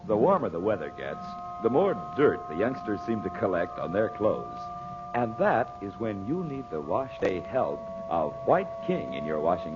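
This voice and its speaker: A man with an American accent announcing and selling in polished, persuasive 1940s radio style. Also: lowish voice. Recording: muffled 1940s radio recording with music. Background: music.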